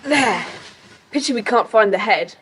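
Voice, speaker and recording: A woman's voice: on the high side, expressive, a bit bright, breathy edge, full character voice. A woman playing an eager, bossy schoolboy who takes charge in a children's audio drama. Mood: brisk, eager. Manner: brisk, take-charge. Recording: faintly hissy cassette tape.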